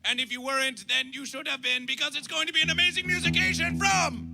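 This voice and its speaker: A man working as a festival master of ceremonies, making bombastic, mock-ceremonial announcements in a gravelly voice and chanting repeated phrases. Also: very high voice, fairly even intonation, fast. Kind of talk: theatrical chanted stage announcements. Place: live music festival stage. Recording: music behind the voice. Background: music.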